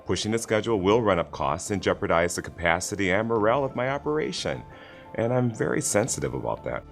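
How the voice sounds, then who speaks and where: A man plays a guarded operations manager in a corporate training drama. He talks fast and formally, with a lively pitch swing and music behind him. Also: creaky. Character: defensive male operations manager in a training film.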